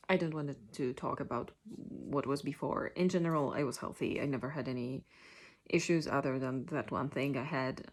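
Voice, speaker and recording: A woman from Poland with a Polish accent speaks in a notably low voice. She talks casually and hesitantly, pausing on ums and restarting her sentences as she tells her own story. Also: creaky. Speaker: vlogger sharing a personal story. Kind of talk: casual personal monologue.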